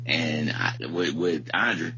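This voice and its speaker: A man's voice: extremely low pitch, slow pace. A man co-hosting a casual music podcast, talking in a rambling, slangy, profane way. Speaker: podcast co-host. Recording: muffled lo-fi podcast audio with hum.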